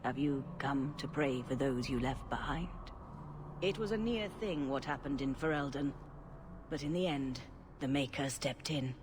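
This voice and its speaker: An actress playing a pious, gentle cleric in a fantasy video game, speaking solemnly and formally. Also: female voice, rumbling low, normal speed. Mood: serene, devout. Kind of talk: video game cutscene acting. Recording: clear background ambience noise.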